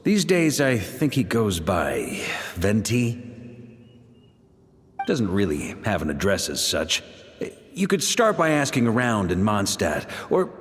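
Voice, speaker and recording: A voice actor doing a low, hushed impression of a gruff older male knight commander inside a video game. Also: sing-song, slow, long pauses, long reverb tail. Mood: solemn.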